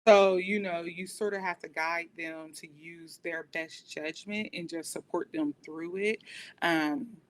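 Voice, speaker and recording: A woman's voice: steady tone, unhurried, poor audio. An American woman talking in a conversational interview, explaining and recounting in a reflective way as a guest. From United States. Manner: reflective, explanatory, often says "you know". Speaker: podcast guest, police dispatcher. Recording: noticeable background noise, low rumble.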